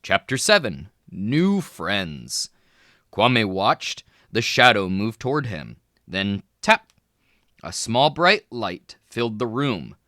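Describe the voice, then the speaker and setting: A man reading a children's fantasy book aloud, expressive and clear, with small voice shifts for the characters. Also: lowish voice, slow, dry recording, studio quality. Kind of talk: read-aloud storybook narration.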